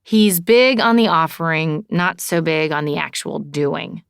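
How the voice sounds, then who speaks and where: A woman's voice: sits low, a little slow, vocal fry, clear, resonant tone, no room sound, studio quality. A woman voicing an easygoing, boyish young man, earnest and a little goofy, in audiobook dialogue. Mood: wry, sympathetic.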